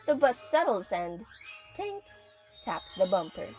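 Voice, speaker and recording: A woman narrates a scripted story in a vivid, dramatic way. Her pitch is in the middle range, and soft music plays under her voice. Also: big pitch swings, slow.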